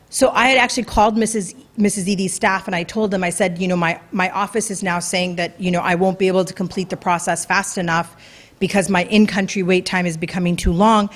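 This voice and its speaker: A woman giving an earnest, conversational talk about her own experience at a community event, with fillers and restarts. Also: mid-range voice. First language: English. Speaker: speaker at a community talk night. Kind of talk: personal story talk. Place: event venue before an audience.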